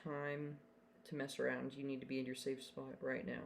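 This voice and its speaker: A man's voice: mid-range voice, monotone, normal speed, creaky, slight background noise. A young man hosting a live weather stream, talking casually with fillers and giving urgent storm warnings from his home setup. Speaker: livestream weather host. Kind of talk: live storm-coverage commentary.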